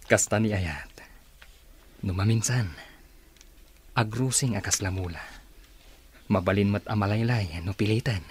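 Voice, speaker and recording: An actor with an Ilocano accent playing an earnest, pleading suitor in a romantic radio drama. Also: male voice, on the low side, a little slow, long pauses, a little hiss or hum, lightly acted. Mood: pleading, tender.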